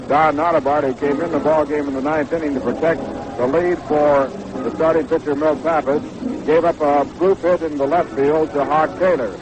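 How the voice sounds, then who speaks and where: A man's voice: on the high side, background noise. An American man recapping a baseball game in long run-on sentences on a 1960s radio broadcast.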